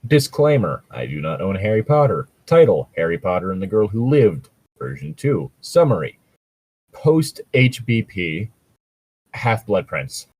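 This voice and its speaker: Man, lowish husky voice, casual podcast banter, wry delivery, close dry sound. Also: big pitch swings, measured pace, a little mellow, no room sound, rough recording. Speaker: podcast co-host. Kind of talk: casual banter and mock fanfiction reading.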